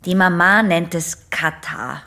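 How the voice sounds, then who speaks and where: An actress in a radio drama playing a defiant, sharp-witted young female patient, speaking in a dry, guarded way. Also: fairly low pitch, slow pace, heavily acted. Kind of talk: German radio drama acting.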